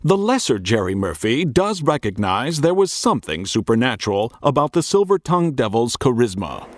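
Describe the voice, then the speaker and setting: Documentary narrator: earnest, formal, scripted, medium pitch with wide melodic range, measured mock-serious delivery. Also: male voice, very animated, no echo, studio quality.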